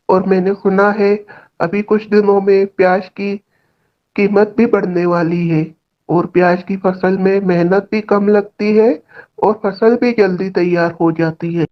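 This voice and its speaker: A woman's voice: mid-range voice, muffled recording, natural voice. A woman voicing a helpful, reassuring male villager giving friendly advice in a cartoon story. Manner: friendly, advising. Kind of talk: Hindi cartoon story acting.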